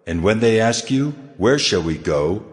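A man's voice: on the low side, lively intonation, unhurried. A man voicing God in a dramatized scripture reading, grave and commanding, passing stern judgment. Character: God speaking in stern, solemn judgment, male. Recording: long cavernous reverb.

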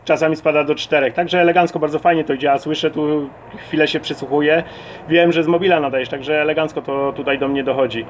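A man with a fairly high-pitched voice talks casually as an amateur CB radio operator. The recording is dull and lo-fi, with faint noise behind him. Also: steady tone, quick pace. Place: at a CB radio set.

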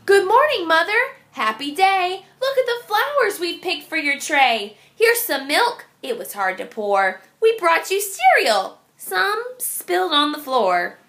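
Woman as cheerful young picture-book child: very high, exclaiming, eager, sing-song. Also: very animated, measured pace, hardly any pauses, a bit bright, heavily acted. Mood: excited, cheerful.

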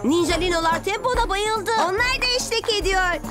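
A woman's voice: very high-pitched, normal speed. A woman voicing a bossy, boastful young boy ninja villain in a children's cartoon, commanding and indignant. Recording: loud music behind, slightly distant voice. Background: loud cartoon music.

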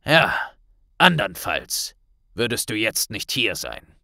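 A man voicing a grave, authoritative middle-aged wizard official with a gruff, deliberate delivery in an audiobook. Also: lowish voice, huge pitch swings, sharp tone, no echo, clean studio sound, full character voice.

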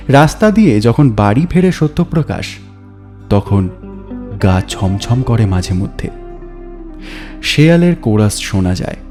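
Slow, dark-voiced male storyteller. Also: mid-range voice. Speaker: story narrator. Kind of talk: dramatic scripted storytelling.

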